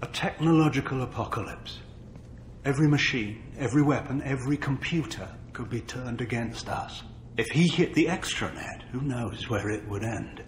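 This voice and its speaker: An actor playing an earnest, middle-aged chief scientist in a video game, speaking gravely and articulately as he justifies himself. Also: mid-range voice, moderate pace, natural voice. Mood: grave, alarmed. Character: earnest, defensive male chief scientist of a failed experiment. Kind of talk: video game dialogue acting. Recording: roomy echo with faint background noise.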